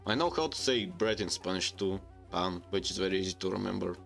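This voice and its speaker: A man's voice: deep voice, a little fast, creaky, a bit bright, soft background music. A young man from Bulgaria with a Bulgarian accent, Bulgarian native speaker, hosting a casual podcast chat and often correcting himself mid-sentence. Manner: rambling, self-correcting, reads chat aloud. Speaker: podcast host.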